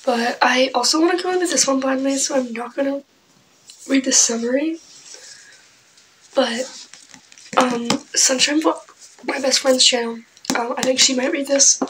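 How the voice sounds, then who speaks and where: Slightly high young woman losing her voice. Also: slight background noise, low-quality recording. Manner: chatty, trails into soft breathy asides. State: losing her voice, clearing her throat often. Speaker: book vlogger. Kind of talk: casual book haul chat with read-aloud summaries.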